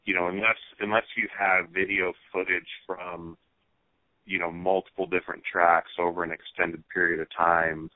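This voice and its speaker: A man talking casually as a racer being interviewed over the phone, pausing often as he thinks through his answers. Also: deep voice, flat delivery, no room sound. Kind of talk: casual phone interview about hobby racing. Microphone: telephone. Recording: tinny, band-limited phone line.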